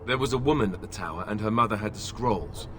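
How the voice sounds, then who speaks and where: A man's voice: fairly low pitch, fast talker, a bit bright, slight background noise, natural voice. A man playing an earnest young knightly companion in scripted fantasy game dialogue. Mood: matter-of-fact.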